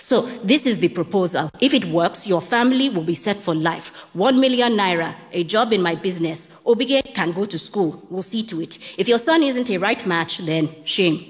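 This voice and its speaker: A woman voicing a blunt, businesslike middle-aged husband who speaks in a brisk, matter-of-fact way. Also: medium pitch, animated, in a large hall, close to an everyday voice.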